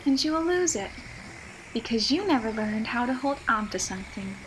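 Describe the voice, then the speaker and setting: A woman's voice: a little high, lively intonation, moderate pace, a bit bright. A woman voicing a disapproving mother with a sickly sweet voice in an audio drama. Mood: sweetly reproachful. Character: sickly sweet, disapproving mother, predicting her child's failure. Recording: clearly noisy, ambient background noise.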